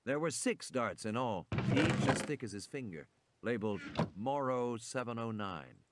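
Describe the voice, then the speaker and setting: A man reading a suspense novel as a narrator, with measured, enunciated dramatic delivery. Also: mid-range voice, animated, very noisy. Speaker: audiobook narrator.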